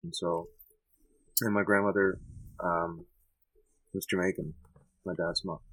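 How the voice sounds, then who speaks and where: A Canadian man answering interview questions in a thoughtful, halting way with many restarts. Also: low-pitched, level tone, a bit bright, dry recording, rough recording. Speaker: musician guest.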